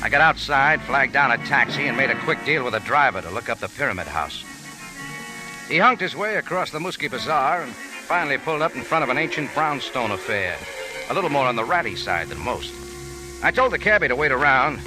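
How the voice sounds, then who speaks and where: A tough, world-weary adventurer from a vintage radio serial, played by a man. His mid-pitched voice is very animated, with wry, clipped hardboiled slang, on an old recording with music behind. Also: fairly quick, lightly acted, poor audio. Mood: wry, narrating. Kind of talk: 1940s-50s radio drama, first-person narration.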